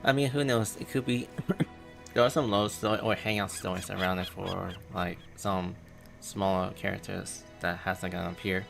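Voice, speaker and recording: A man's voice: low-pitched, fairly even intonation, moderate pace, creaky. A man with a Filipino accent, rambling hesitantly over a game livestream. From Philippines. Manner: rambling, hesitant, many fillers. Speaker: streamer. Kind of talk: casual livestream chat. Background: game music.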